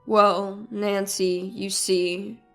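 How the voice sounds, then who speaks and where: Lady doing a guilty evasive husband for an audio story, lowered voice, halting and flustered. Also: level tone, a bit bright, soft background music. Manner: halting, stammering.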